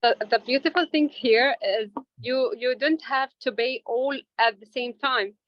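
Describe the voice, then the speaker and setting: A woman interview guest speaking English as a second language, answering informally and haltingly as she searches for words. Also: sits high, no room sound. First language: not English. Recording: dull lo-fi audio.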